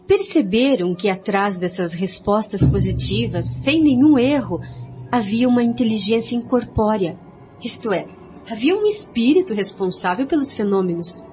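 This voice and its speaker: A woman's voice: mid-range voice, expressive, normal speed. A woman narrating a scripted radio drama in a formal storytelling manner. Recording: muffled radio recording with music.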